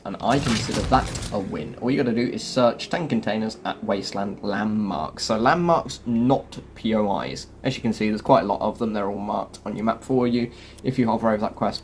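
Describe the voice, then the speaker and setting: A man presenting a video game walkthrough, explaining things step by step to viewers in a chatty way. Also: fairly low pitch. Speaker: gaming YouTuber presenting a quest guide. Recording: game sound clearly behind voice. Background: video game ambience and vehicle noise.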